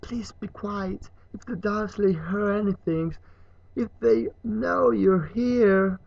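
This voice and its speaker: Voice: mid-range voice, slow, darkish tone. A reader voicing a polite, awkward young boy wizard in a storybook, with a hesitant, tactful manner. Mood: alarmed, pleading. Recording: muffled, echoey room recording.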